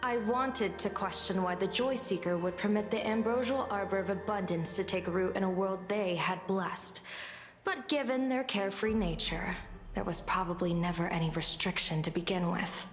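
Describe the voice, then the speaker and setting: A woman voicing a composed female diviner in a video game, speaking in a measured, formal, teasing and probing way. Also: mid-range voice, roomy sound, long reverb tail, lightly acted. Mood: measured, questioning. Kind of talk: scripted video game voice acting. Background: music.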